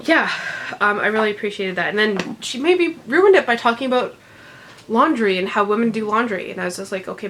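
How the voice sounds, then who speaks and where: A woman's voice: mid-range voice, fairly bright tone, a little hiss or hum. Congested woman chatting about books. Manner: rambling, many ums, laughs often. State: congested, recovering from illness. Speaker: book vlogger. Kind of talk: casual unedited book chat.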